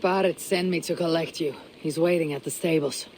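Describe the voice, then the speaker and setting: Man as terse Viking raider, fairly high voice, faint noise behind. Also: fairly even intonation, brisk, darkish tone, close to an everyday voice, low-quality recording. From Norway. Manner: terse and dry. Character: blunt male Viking raider, dry and tough.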